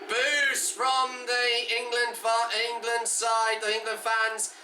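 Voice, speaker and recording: A young man watching a football match at home with his dad, reacting live and shouting at big moments. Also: extremely high pitch, little pitch movement, slow pace, soft background music. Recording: echoey room, distant mic, faint background noise.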